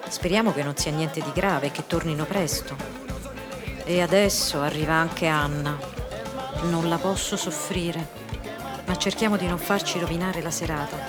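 Low, dry, argumentative woman acted. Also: moderate pace, lightly acted. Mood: irritated, confiding. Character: Maria, dry, irritated, argumentative woman hosting a party. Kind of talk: radio drama acting with inner monologue. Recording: music behind the voice.

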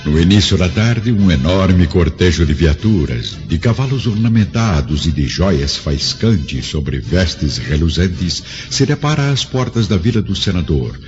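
A man's voice: low voice, sing-song, unhurried, hardly any pauses, a little mellow. A man narrating a radio drama from a script in a solemn, grandiose storytelling manner. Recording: dull lo-fi audio, prominent music behind.

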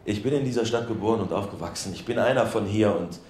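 An actor playing a middle-aged, plain-spoken townsman, a humble and reluctant political candidate giving a halting, earnest speech in a radio drama. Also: lowish voice, a little mellow, close to an everyday voice. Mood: nervous, earnest. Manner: halting, unpolished, earnest public speaking. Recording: echoey public hall sound.

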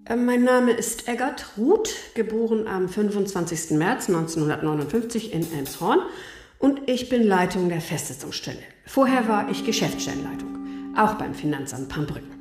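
A woman's voice: medium pitch, expressive, moderate pace, darkish tone, lightly acted. An actress playing a formal, stiff woman in her sixties who heads a tax assessment office, in a radio drama. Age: 60s. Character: formal older female tax office head. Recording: roomy with music behind.

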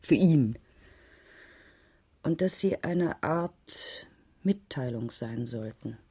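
A woman's voice: extremely low pitch, expressive, extremely slow, dark tone, husky, heavily acted. A woman playing a concerned schoolteacher under questioning in a radio crime drama, speaking in a careful, reflective, slightly hesitant way. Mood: thoughtful, hesitant. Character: concerned primary school teacher being questioned, thoughtful and defensive. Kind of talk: 1980s German radio drama dialogue.

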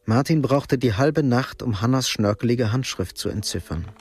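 An actor narrating a Cold War thriller radio drama in a sober, measured, literary manner. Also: male voice, medium pitch, darkish tone, breathy edge, faint music behind, close to an everyday voice. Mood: sober, suspenseful. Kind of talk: scripted narration.

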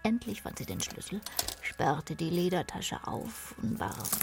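A woman reading scripted narration for a radio play in a hushed, literary manner. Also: fairly low pitch, big pitch swings, unhurried, creaky, music in the background.

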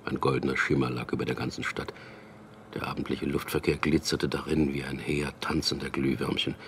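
An actor in a German radio drama playing a smooth-talking propagandist who tells and acts out his own story in an earnest way. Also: a bass voice, flat delivery, brisk, slight background noise. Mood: reflective.

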